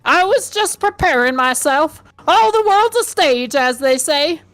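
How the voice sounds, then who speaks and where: A woman voicing an ancient, indignant old man with a Southern US accent and a tired rasp, in a comic story reading. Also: high-pitched, lively intonation, a little slow, fairly bright tone, no echo, soft background music, voice pushed up. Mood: weary, grand.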